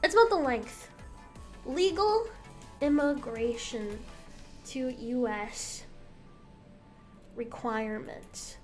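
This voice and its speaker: A young woman with a high voice chats casually on her stream, giving her opinions bluntly and candidly. She swears without a second thought, and faint music plays under her talk. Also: slow pace, pauses often. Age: young adult.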